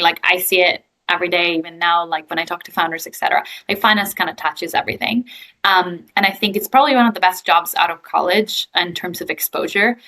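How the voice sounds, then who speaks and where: Bright-voiced Italian woman, chatty. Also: on the low side. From Italy. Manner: chatty, frequent ums and likes. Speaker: guest, growth equity investor. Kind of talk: casual interview answers.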